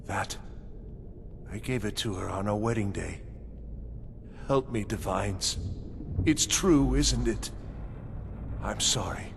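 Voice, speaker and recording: A man playing a meek, heartbroken farmer husband in a video game, speaking in a halting, sorrowful way. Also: on the low side, vocal fry. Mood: grieving, resigned. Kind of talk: scripted game dialogue. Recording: reverberant, noisy game ambience.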